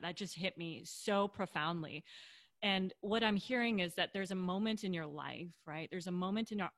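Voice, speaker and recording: A woman's voice: lowish voice, a bit bright, no echo, studio quality. A woman hosting an interview, affirming and reflecting on her guest's story. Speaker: host. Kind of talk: interview chat.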